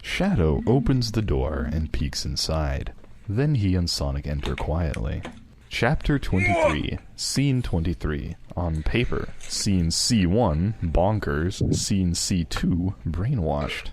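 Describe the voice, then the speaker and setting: A man's voice: deep voice, big pitch swings, slow, background noise. A man reading scene headings and action as narrator of an amateur fan fiction script.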